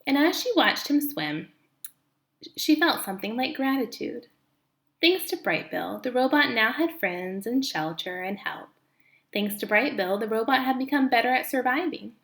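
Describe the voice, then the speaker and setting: A woman reading a children's book aloud as an expressive storyteller, recorded in an echoey room. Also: on the high side, moderate pace.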